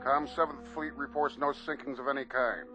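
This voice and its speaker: Mid-pitched, clipped navy officer, played by a man. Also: fairly even intonation, normal speed, over music, natural voice. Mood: official. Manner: clipped, procedural. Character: formal, efficient male navy bridge officer. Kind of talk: radio drama acting.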